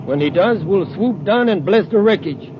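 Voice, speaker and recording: An actor playing a ruthless, domineering space villain, curt and menacing, in a 1950s radio drama. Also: male voice, high-pitched, lively intonation, moderate pace. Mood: grim, determined. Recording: muffled, noisy old radio recording.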